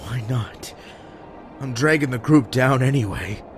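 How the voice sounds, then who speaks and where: A man playing a guilt-ridden, self-loathing young survivor in an emotional audio drama, with a halting, bitter delivery. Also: mid-range voice, lightly acted. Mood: anguished, bitter. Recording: prominent music behind the voice.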